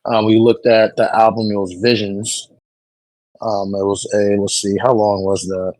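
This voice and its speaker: Low-voiced man, dry close mic. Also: level tone, a little slow, darkish tone, dry recording, low-quality recording. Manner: frequent ums, hesitant and rambling. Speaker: podcast host. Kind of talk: casual album review chat.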